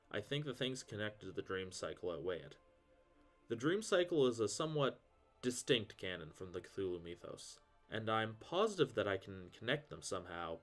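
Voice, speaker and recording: A man giving casual literary commentary as a video host, with a wry, self-deprecating manner and mildly sweary asides. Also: lowish voice. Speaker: video host reviewing horror fiction.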